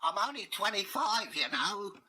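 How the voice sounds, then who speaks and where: A man's voice: very animated, measured pace, pushed and bright, a little hiss or hum, heavily acted. A man voicing a weary, harried young village headman, complaining in a raised, exasperated voice. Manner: exasperated, complaining, higher-pitched.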